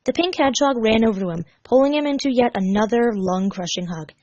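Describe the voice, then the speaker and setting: Female storyteller for scripted fiction narration, voice sitting fairly high. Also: brisk, darkish tone, dry recording, highs cut off. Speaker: fan fiction reader and narrator.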